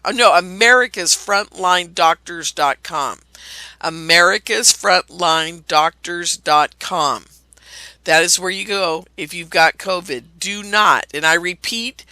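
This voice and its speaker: Woman talk host, hoarse and gravelly, sharp and tense, indignant ranting delivery. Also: on the low side, dry recording. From California. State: hoarse, losing her voice. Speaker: radio show host. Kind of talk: ranting monologue to listeners.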